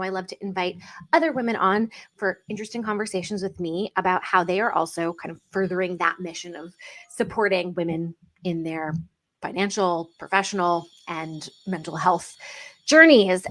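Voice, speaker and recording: A woman's voice: mid-range voice, fairly bright tone. The woman you'd hear at the start of a professional networking livestream, chattily welcoming everyone from a room at home or work. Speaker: host of a live online talk series. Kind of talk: welcoming interview introduction. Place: indoors on a livestream, near a window.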